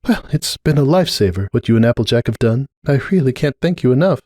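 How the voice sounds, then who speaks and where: A man's voice: medium pitch, animated, quick pace, warm tone, no room sound, studio quality, voice pushed up, lightly acted. A man voicing a kindly, flustered middle-aged mother pony in a dramatic story reading, hesitant and apt to trail off. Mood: relieved, grateful.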